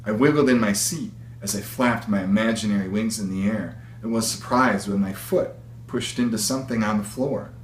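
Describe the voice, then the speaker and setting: A man reading a story aloud as its author, in a measured, expressive storytelling manner. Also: fairly low pitch. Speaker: author reading his own book. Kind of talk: read-aloud story narration. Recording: echoey room with faint hum.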